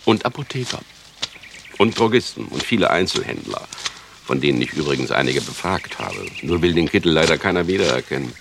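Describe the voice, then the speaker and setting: Very deep, gravelly police inspector. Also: male voice, sing-song, background noise, exaggerated voice. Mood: courteous, wry. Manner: measured, polite, insinuating. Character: probing police chief inspector, courteous, slyly ironic man. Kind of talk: German radio crime play dialogue.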